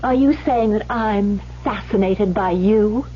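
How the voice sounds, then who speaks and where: An actress playing a guarded, proud and defiant former stage actress in an old-time radio drama, clipped and hesitant when pressed. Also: female voice, mid-range voice, slow, warm tone, lightly acted. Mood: indignant. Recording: muffled vintage radio broadcast, faint hum.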